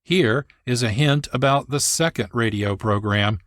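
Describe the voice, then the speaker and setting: A man hosting a radio program, reading scripted narration in a measured announcer's delivery. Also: medium pitch, a bit bright, dry recording. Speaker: radio host and producer. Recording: dry studio, music behind.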